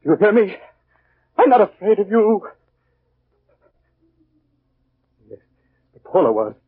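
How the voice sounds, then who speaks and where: An actor playing a desperate, guilt-crazed husband who kills his wife, overwrought and halting, in 1940s radio style. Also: sits high, sing-song, husky, heavily acted. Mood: haunted, defiant. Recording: tinny, narrow 1940s radio recording.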